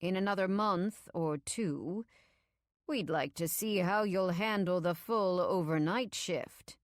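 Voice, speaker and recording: A woman's voice: mid-range voice, expressive, slow, dry recording, pitched above the performer's own voice. An icy, smug male villain played by a woman narrator for an audiobook. He speaks with clipped formality and ominous courtesy, in an accent that is not quite British, recorded close and dry. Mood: smugly ominous. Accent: ambiguous, not quite British. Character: stern, menacing tall husband, icy and smugly sinister. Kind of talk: audiobook character acting.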